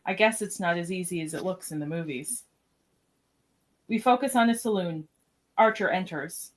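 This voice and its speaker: A woman's voice: on the low side, moderate pace, noisy background. A woman reading scripted scene directions as narrator of a podcast radio play.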